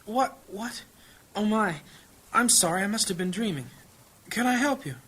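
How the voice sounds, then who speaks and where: Weary, sighing old priest. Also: male voice, sits high, animated, moderate pace, a bit bright. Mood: flustered, humble. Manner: hesitant, stammering when flustered. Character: weary old male priest, anxious and humble. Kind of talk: fantasy audio drama acting. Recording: clear background ambience noise.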